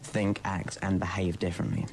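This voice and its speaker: A young man with a low voice, a hypnotherapist speaking as a radio guest. He explains his methods steadily, as if selling them. A light hiss sits under the voice. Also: level tone, normal speed, rough recording. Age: young adult. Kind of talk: radio interview.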